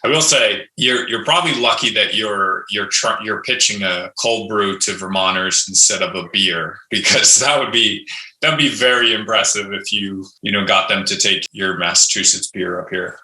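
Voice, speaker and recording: Bright-toned host in an echoey room. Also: male voice, fairly low pitch, moderate pace. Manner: enthusiastic, repeats words while asking questions. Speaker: podcast host. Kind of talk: casual interview hosting.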